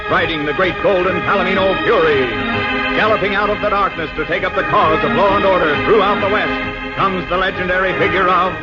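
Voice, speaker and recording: A man narrating an old-time radio western introduction with dramatic, portentous declamation. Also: on the high side. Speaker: radio announcer. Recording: dull old radio broadcast, loud music.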